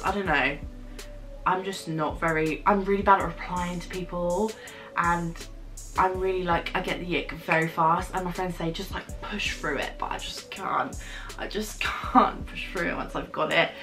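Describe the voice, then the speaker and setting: A woman's voice: fairly low pitch, fairly bright tone, far from the mic. A young woman in her twenties, chatting casually to camera from her bedroom, rambling and joking about herself. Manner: chatty, rambling, self-mocking. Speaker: YouTuber. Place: bedroom, indoors. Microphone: camera in front, medium framing.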